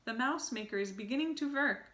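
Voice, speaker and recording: A woman voicing the Grand High Witch, a scheming female witch leader who draws out her words slowly and commandingly. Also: sharp tone. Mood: gloating. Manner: slow, drawn-out, commanding counting.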